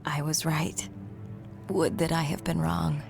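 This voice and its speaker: A woman's voice: sits low, flat delivery, measured pace, gravelly, over music, exaggerated voice. A woman voicing an earnest, troubled young seer in a video game cutscene, with dramatic delivery.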